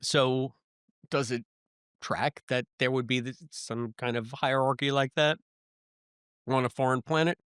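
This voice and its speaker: Man, podcast co-host, clear smooth voice, medium pitch, playful jokey manner, casual review chat, dry close sound. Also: measured pace, pauses often, dry recording. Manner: playful, jokey, animated reactions.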